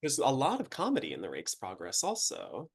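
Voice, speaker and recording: A man's voice: mid-range voice, wildly swooping pitch, a little fast, slightly rough. A man hosting an online opera lecture, friendly and a little hesitant, often starting with "um" and "you know". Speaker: opera company producer and interviewer.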